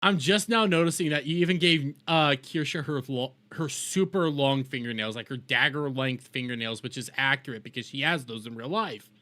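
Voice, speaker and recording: A man giving casual live reaction commentary, excitable and quick to swear and exclaim. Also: medium pitch. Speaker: host reacting to a fan-made music video. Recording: music playing behind the voice. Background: guitar music.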